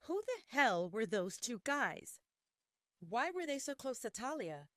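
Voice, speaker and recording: A jealous, irritable male werewolf alpha, acted out by a woman narrator in an audio romance serial. The delivery swings widely in pitch and is recorded dry. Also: very animated, measured pace, a bit bright, no echo. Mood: jealous outrage.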